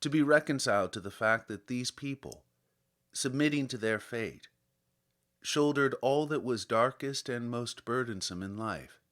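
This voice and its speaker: A man reading literary fiction as an audiobook narrator, with a measured, dramatic storytelling delivery. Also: mid-range voice, a bit bright. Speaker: audiobook reader.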